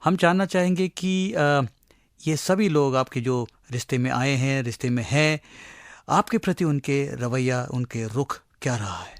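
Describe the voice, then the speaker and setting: A man with an Indian accent asking interview questions politely, in a halting conversational manner. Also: medium pitch, dry recording, clean studio sound.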